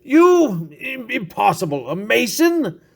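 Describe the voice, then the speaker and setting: A man's voice: very high-pitched, big pitch swings, slow pace, creaky, husky, voice pushed up, full character voice. A man playing a boastful, gullible, tipsy nobleman in a dramatic story reading, pompous and exclamatory. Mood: incredulous, scornful.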